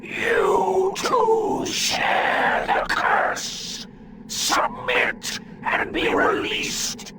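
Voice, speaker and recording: A performer voicing an eerie spectral guardian in a video game, whispering and then shouting. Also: very high voice, flat delivery, drawn-out words, distant mic, heavy background noise, full character voice.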